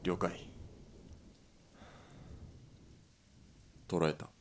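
An actor playing a gruff army sniper in a radio drama, speaking in short, clipped replies. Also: male voice, normal speed, heavy background noise, close to an everyday voice. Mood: focused. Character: gruff male army sniper, terse and restless.

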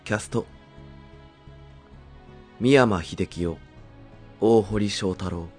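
Slow, low-voiced male credits narrator. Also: darkish tone, breathy edge, soft background music. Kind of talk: scripted ending narration, reading credits.

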